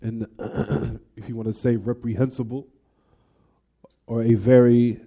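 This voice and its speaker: A man's voice: medium pitch, level tone, a little slow, creaky, mellow. A man giving an Islamic lesson as a teacher, explaining scripture with quoted Arabic phrases and repeating key points in a didactic way. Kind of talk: religious lesson explaining a hadith, quoting Arabic.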